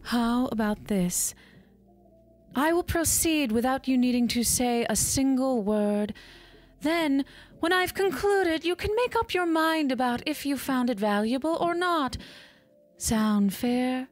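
A woman's voice: sits high, expressive, slow, soft background music. A woman playing a poised, uncanny tarot reader in a horror audio drama, speaking in a formal, theatrical way. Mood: knowing, poised. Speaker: actor.

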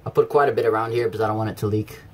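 A man's voice: on the low side, steady tone, racing. A man giving a casual hands-on tutorial in an informal, plain-spoken way, explaining step by step. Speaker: presenter of DIY how-to videos. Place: around a backyard tub and a workshop. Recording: handheld camera with background noise.

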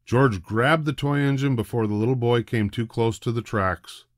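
An older man narrating a children's storybook in a deliberate, expressive way. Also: medium pitch, dry recording, clean studio sound. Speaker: storybook reader.

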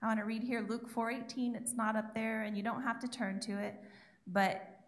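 A woman's voice: a little high, steady tone, moderate pace. A young woman giving a sermon from her notes on a church stage, chatty and self-deprecating, speaking into a handheld mic. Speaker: guest preacher. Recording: echoey church room.

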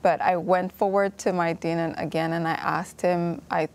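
Woman from ethiopia telling her story in a bible class, lowish voice with some fry, laughs a lot. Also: fairly even intonation, moderate pace. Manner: laughs easily, earnest. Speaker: class participant. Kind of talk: sharing a personal testimony. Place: TV studio set. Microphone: lapel mic.

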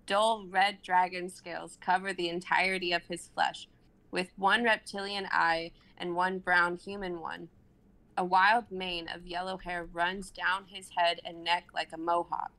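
A woman in her twenties narrates a script in a bright, forceful voice. Her pitch sits a little low as she reads out scene directions dramatically. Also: flat delivery, a little slow, low-quality recording. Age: 20s. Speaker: narrator reading stage directions. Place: home room on a group video call.

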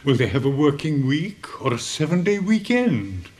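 An elderly, idealistic headmaster in a British radio drama. He is mournful and oratorical, an earnest man in despair, his voice pitched a little high. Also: sing-song, normal speed, a little mellow. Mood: bitterly oratorical. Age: older. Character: despairing, idealistic old headmaster near retirement.

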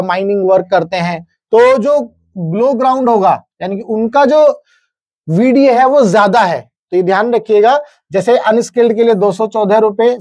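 A man's voice: sits high, darkish tone, no room sound. Male presenter, 30s, Indian accent, methodical explainer delivery, dry close sound. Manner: methodical, with checking tags to the viewer. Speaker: presenter explaining government wage notices. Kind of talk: explanatory lecture, reading out figures and tables. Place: indoor studio, standing at a large digital screen.

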